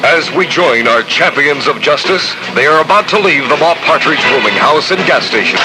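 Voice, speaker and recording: A man narrating as a mock-dramatic old-time radio announcer, with a booming, overblown delivery. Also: expressive, normal speed, low-quality recording. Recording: old radio broadcast with loud music.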